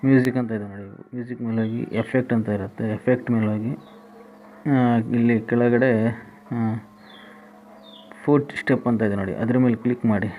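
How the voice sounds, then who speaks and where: A man's voice: medium pitch, steady tone, normal speed, long pauses, dark tone. A man giving a step-by-step app tutorial as a voice-over, repeating each instruction as he goes. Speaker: tutorial host. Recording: tinny phone-like band, faint noise.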